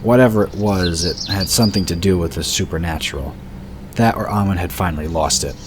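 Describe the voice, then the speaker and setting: A man narrating a fantasy story in a steady, measured storytelling voice. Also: sits low, background noise. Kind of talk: scripted audiobook narration.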